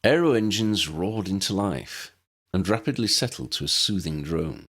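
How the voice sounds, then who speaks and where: A man's voice: deep voice, expressive, normal speed, a bit bright, slightly rough. A man narrating a story as an audiobook reader, expressively reading scripted fiction.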